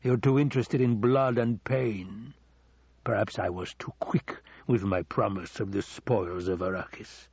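Audiobook villain, played by a man: scheming older nobleman, husky, slow, silkily taunting, dry recording. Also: on the low side, steady tone, darkish tone, no echo, muffled recording. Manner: slow, drawn-out, taunting delivery.

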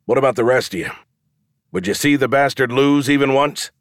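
A man voicing a brash, foul-mouthed male showman who loudly goads a crowd into wagers in an audiobook. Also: mid-range voice, animated, moderate pace, no room sound, clean studio sound, pitched above the performer's own voice, lightly acted. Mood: challenging. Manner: loud, goading, declamatory calling to a crowd. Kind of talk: audiobook character dialogue.

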